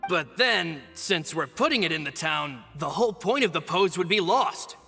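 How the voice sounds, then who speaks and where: A gravelly, loyal male assistant. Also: on the high side, lively intonation, pushed and bright, big-room sound, soft background music, full character voice. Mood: measured. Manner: polite, measured, practical. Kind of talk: video game voice acting.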